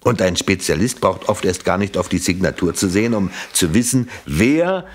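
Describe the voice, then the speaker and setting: A shrewd, doubting police inspector in a German radio play. His voice sits fairly low and moves expressively up and down, polite and measured with a dry, ironic edge. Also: animated. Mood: skeptical probing.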